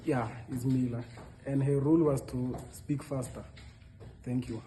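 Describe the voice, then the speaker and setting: A man's voice: mid-range voice, fairly even intonation, a little slow, warm tone, slight background noise. A young adult man giving a short introduction to an audience as a student puppeteer.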